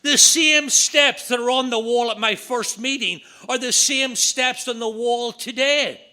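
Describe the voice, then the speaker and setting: Bright-voiced Northern Irish man. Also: very high voice. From Northern Ireland. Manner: earnest, repetitive, quick asides. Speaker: AA speaker. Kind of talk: recovery meeting talk. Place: meeting room.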